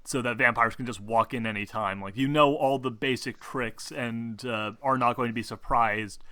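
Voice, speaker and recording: A man explaining game rules as game master in a casual, halting, rambling way, often clearing his throat. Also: medium pitch, sharp tone, no echo. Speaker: game master of a tabletop role-playing game.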